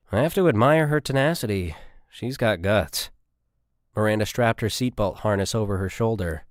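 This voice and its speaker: A man's voice: fairly low pitch, no echo, clean studio sound. A man reading a scripted military science fiction story as an audiobook narrator.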